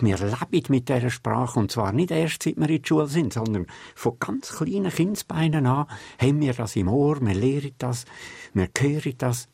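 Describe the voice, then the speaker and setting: A man's voice: mid-range voice, gravelly, darkish tone, slightly rough, no echo. An older man with a Central Swiss German accent, a literary scholar answering interview questions thoughtfully and emphatically, with frequent hesitations. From Central Switzerland. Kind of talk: reflective interview talk.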